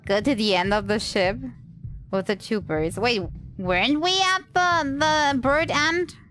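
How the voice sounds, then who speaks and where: A woman's voice: a little high, animated, unhurried, a bit bright, faint music behind. A woman livestreaming a game in a casual way, musing aloud and chatting to the characters.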